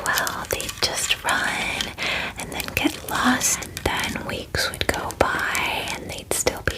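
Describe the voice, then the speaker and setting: A woman voicing a chatty, clingy female dragon in a breathy ASMR whisper, gushing casually like a best friend in a fantasy roleplay. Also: natural voice, low-quality recording. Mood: mildly miffed, chatty. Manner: gushing valley-girl chattiness, filler-heavy, casual.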